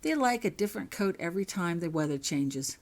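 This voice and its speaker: A woman plays a young witch in a storybook reading. She is serene and curious, laying out her thoughts matter-of-factly in a fairly low female voice. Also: expressive, a little fast.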